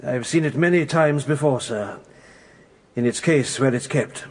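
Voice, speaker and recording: An actor playing a deferential British butler in a radio drama, answering in a halting, respectful way. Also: male voice, mid-range voice, natural voice. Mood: hesitant, respectful. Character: deferential, hesitant male butler giving evidence.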